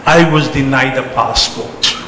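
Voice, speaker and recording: Male community leader, deliberate and emphatic public speaker, echoey amplified hall with faint background noise. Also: medium pitch, pushed and bright. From born in Trinidad, spent early life in England. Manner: emphatic, deliberate oratory with short phrases and repetition. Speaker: religious organisation leader giving a speech. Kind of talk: public speech to a community gathering. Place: temple celebration, amplified to an audience.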